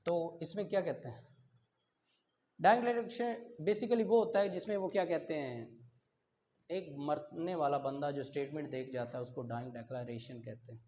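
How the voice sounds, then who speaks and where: Male lecturer, Pakistani accent, high-pitched voice, explains step by step and repeats key terms. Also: moderate pace, darkish tone, highs cut off. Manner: checks listeners' understanding, repeats key terms. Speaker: law teacher. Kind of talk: classroom lecture, reading out and explaining.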